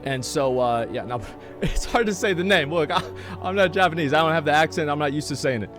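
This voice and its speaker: A man streaming live talks in a loose, rambling way, swearing freely and restarting his sentences as he goes. His voice sits somewhat high for a man, with faint music playing underneath. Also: fairly quick. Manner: rambling and profane, many restarts. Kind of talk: casual live-stream chat while gaming.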